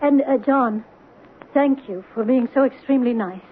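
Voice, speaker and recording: A gentle, slightly nervous mother, played by an actress, her voice sitting a little high. It has the thin, phone-like sound of an old radio broadcast with a faint hiss underneath. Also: moderate pace, natural voice. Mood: gentle, anxious. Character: gentle widowed mother, kind and anxious. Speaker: Celia, a mother. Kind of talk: 1970s radio drama acting.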